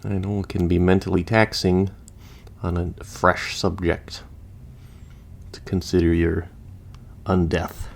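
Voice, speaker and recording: A man's voice: deep voice, little pitch movement, takes it slowly, vocal fry, pauses often, heavily acted. A man playing a chatty, easygoing surgeon who patches up the undead, explaining casually as he works in a listener roleplay.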